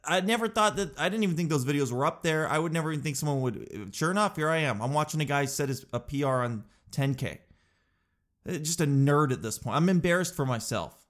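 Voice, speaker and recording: A man's voice: fairly high pitch, expressive, fairly quick. A man talks casually to his camera, his nose stuffed up from crying. He rambles in a chatty, self-mocking way and keeps tossing in "dude.". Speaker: vlogger.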